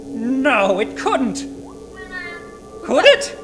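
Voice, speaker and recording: Woman playing a high, swooping, theatrical professor. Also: very animated, extremely slow, music in the background, exaggerated voice. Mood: awed wonder. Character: excitable scholarly professor marvelling at strange worlds. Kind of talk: old-time radio serial acting.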